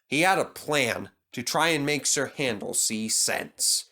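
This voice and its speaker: A man narrates a children's storybook from a script. His pitch sits in the middle and moves about in a very animated way. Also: measured pace.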